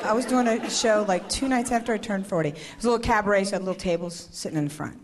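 A woman in her forties doing a stand-up comedy routine on stage, animated, shouting her punchlines and slipping into voices. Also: medium pitch, very fast, noisy background. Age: 40s. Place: comedy stage before a live audience. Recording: live show with loud crowd and laughter.

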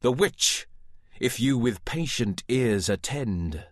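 An actor playing the solemn Chorus of a Shakespearean tragedy, reciting verse in a measured, declamatory way. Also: male voice, mid-range voice, expressive, no echo, studio quality. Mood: solemn, foreboding. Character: solemn male Chorus speaking a tragic Shakespeare prologue.

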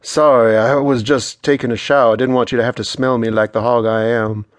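A man voicing a confident, teasing young Southern man in an audiobook, warm and folksy with a Southern US accent. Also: mid-range voice, fairly even intonation, brisk, dry recording, close to an everyday voice. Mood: playful, warm.

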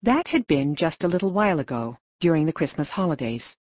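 A woman narrating an audiobook story in an expressive storytelling manner. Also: low voice, brisk, no echo, dull audio.

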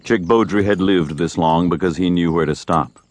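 A man's voice: low-pitched, moderate pace, a little mellow, lightly acted. A man narrating a scripted Old West tale as a grave storyteller. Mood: measured.

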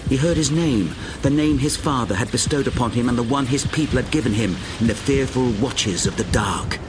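A man's voice: medium pitch, a little fast, noisy background. A man reading dramatic fiction as an audiobook narrator, with a grave, intense and theatrical delivery. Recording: music behind the voice. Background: music.

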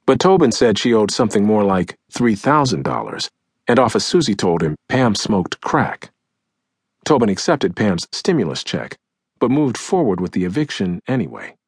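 A man reads scripted nonfiction in a husky voice on the low side. His delivery is measured and dramatic, with a widely moving pitch that gives each phrase shape. He is close to the microphone. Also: big pitch swings, no room sound. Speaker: audiobook narrator. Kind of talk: scripted nonfiction audiobook narration, acting out quotes. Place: close, dry recording studio.

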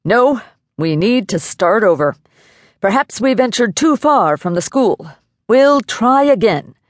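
A woman narrator plays a pompous male dowser in a storybook. He talks in fussy, formal phrases and gets flustered and excitable. The delivery is unhurried and the sound is close and dry. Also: a little high, no echo, voice pushed up. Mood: flustered, insistent. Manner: wordy, formal diction.

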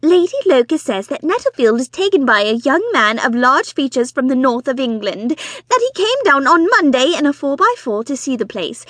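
Lady doing a squealy loud fussy mum in a storybook, voice bouncing all over, totally impatient. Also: no echo, clean studio sound. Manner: shrill, gushing, impatient. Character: excitable fussy middle-aged mother, matchmaking wife.

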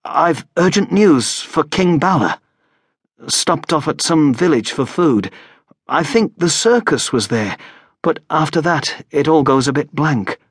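A man's voice: on the high side, big pitch swings, measured pace, breathy edge, no echo, studio quality, pitched above the performer's own voice, exaggerated voice. A man voicing a wary, courteous injured young traveller in an audiobook, speaking hesitantly and politely. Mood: hesitant, evasive. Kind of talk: audiobook character voice.

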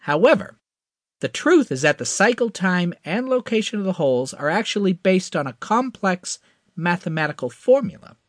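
A man voicing Crash, an excited, slightly nervous young tech whiz. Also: dry recording, studio quality. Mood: eager. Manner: excited, quick, pitched up.

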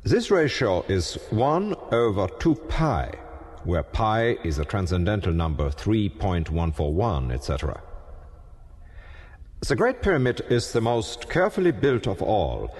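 Low-voiced physicist in echoing hall. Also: male voice, very animated, a little slow, darkish tone. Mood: precise, assured. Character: learned male physicist explaining precise findings. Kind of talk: scripted expert statement in audio documentary. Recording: long hall-like reverb.

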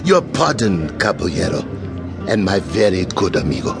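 An actor playing a boastful, hard-drinking Spanish colonial sergeant in an audio drama, bombastic and mocking. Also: male voice, sits low, very animated, moderate pace, creaky, music in the background, heavily acted. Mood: jovial flattery. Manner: bombastic, mocking, laughs loudly at own jibes.

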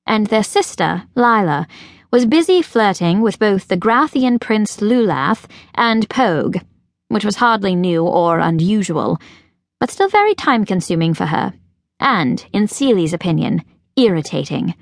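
A woman reading a children's fantasy audiobook as narrator, in a measured, expressive storytelling manner. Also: medium pitch, dry recording, clean studio sound. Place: recording studio.

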